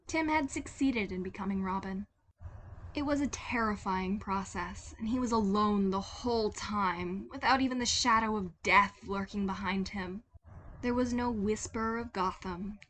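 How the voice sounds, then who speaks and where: A woman's voice: mid-range voice, a little hiss or hum. A woman reading a story as a dramatic scripted narration. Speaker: podfic narrator.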